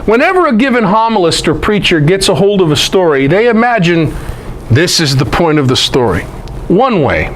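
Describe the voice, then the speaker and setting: A man's voice: high-pitched, very animated, normal speed, fairly bright tone, a little hiss or hum, low-quality recording. A man lecturing on scripture in an emphatic, conversational way, with rhetorical questions and folksy asides. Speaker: presenter and Bible teacher. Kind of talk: animated lecture on scripture scholarship.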